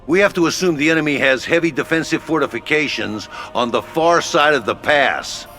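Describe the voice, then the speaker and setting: A man's voice: fairly high pitch, brisk, sharp tone. A man playing a brash, plain-spoken HQ officer in a video game, briefing in a blunt, cocky, colloquial way. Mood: blunt, urgent. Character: brash, plain-spoken male military HQ officer, gung-ho and slangy. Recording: background music under the voice.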